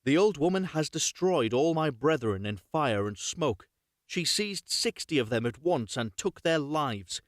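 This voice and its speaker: A man's voice: fairly high pitch, lively intonation, a little slow, dry recording, studio quality. Man voicing an earnest little talking straw. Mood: plaintive. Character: talking straw, earnest survivor bemoaning its lot.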